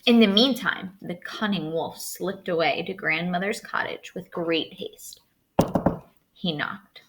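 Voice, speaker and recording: A woman teacher reading a storybook aloud to students in an expressive, instructive way. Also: lowish voice, slow.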